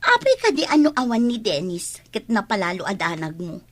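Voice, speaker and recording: A woman's voice: mid-range voice, very animated, a little fast. A woman with an Ilocano accent playing a cocky, competitive young student in an audio drama. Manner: boastful. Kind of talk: Ilocano radio drama acting.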